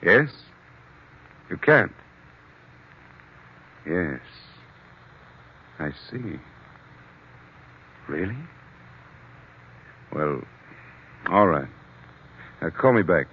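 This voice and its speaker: An actor playing a brisk, exasperated business partner in an old radio drama, insistent and full of sharp questions. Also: male voice, low-pitched, big pitch swings, a little hiss or hum, heavily acted. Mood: businesslike. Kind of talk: 1940s radio drama acting. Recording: muffled vintage radio recording.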